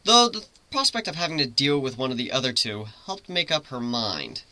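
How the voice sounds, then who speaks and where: A man's voice: medium pitch, big pitch swings, moderate pace, sharp tone. A man reading a story aloud as its narrator, in a dramatic reading style.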